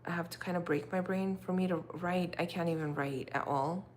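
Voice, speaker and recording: A woman's voice: on the low side, steady tone, fairly quick. A young woman, a native Russian speaker, chatting casually on a livestream, rambling with lots of fillers. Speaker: livestream host. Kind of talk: casual livestream chat with viewers. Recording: faint background noise.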